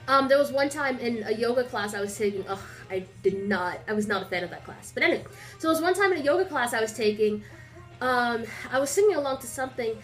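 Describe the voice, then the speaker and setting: A woman's voice: fairly high pitch, fast, soft background music. Young woman vlogging with a stuffy nose. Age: young adult. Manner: rambling, filler-heavy, animated storytelling. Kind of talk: casual chatty vlog. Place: small indoor room.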